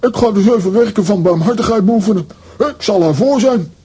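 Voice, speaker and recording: High, husky, blustering cartoon hero. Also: male voice, fairly quick, mellow. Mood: determined. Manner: boastful, indignant. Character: vain, gullible, blustering comic cartoon hero, adult man. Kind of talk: children's audio drama acting.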